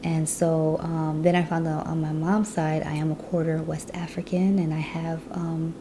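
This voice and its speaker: A woman's voice: low voice, level tone, normal speed, noisy background. A woman from the US Midwest telling her personal story in a chatty vlog, with lots of "you know". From Chicago, US Midwest. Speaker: vlogger.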